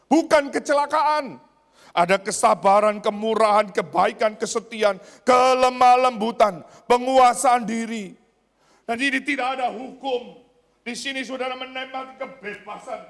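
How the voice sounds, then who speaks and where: A man preaching a sermon as a pastor, emphatic, repeating phrases and putting rhetorical questions to his listeners. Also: high voice. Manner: swings between raised exclamations and hushed asides; addresses congregation with rhetorical questions. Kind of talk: church sermon. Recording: long hall reverb.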